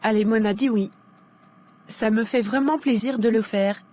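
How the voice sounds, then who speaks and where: Woman playing a supportive young friend, fairly high voice, reassuring, encouraging, cartoon dialogue. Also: moderate pace, warm tone, natural voice. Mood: comforting.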